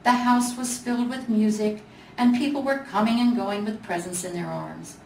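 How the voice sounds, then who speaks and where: A woman's voice: fairly high pitch, moderate pace, creaky, a little mellow. A woman with an American accent narrating a children's storybook as a volunteer reader. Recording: echoey room, distant mic, faint noise.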